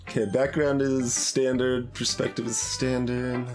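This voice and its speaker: A man streams a visual novel playthrough at a slow, unhurried pace. He comments and reads the on-screen text hesitantly, thinking aloud, with music playing behind him. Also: medium pitch, little pitch movement.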